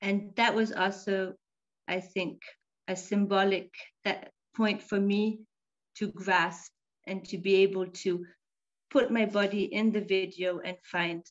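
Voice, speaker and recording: A woman's voice: medium pitch, flat delivery, takes it slowly, highs cut off. A woman performer reflecting on her work at an artist talk, with some fillers.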